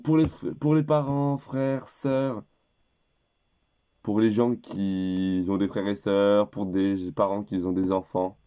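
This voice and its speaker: A teenage boy with a low, soft-edged voice, audibly stuffed up with a cold. He talks in a rambling, hesitant way, often stopping and starting over mid-sentence. The delivery is loose and casual. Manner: rambling and hesitant, with many restarts. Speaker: YouTuber. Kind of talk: casual gift-list vlog.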